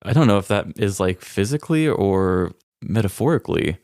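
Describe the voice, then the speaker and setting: A man's voice: on the low side, animated, brisk, darkish tone, no echo. A man co-hosting a podcast in casual, informal chat, laid-back with dry jokes. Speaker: podcast co-host.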